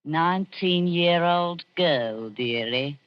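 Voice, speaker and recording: Sly, fawning old crone, muffled. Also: female voice, fairly low pitch, expressive, takes it slowly, smooth. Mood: gloating, sly. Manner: fawning, singsong endearments. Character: sly, wheedling old body-snatcher woman, falsely sweet. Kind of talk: 1940s radio acting. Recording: dull 1940s radio recording.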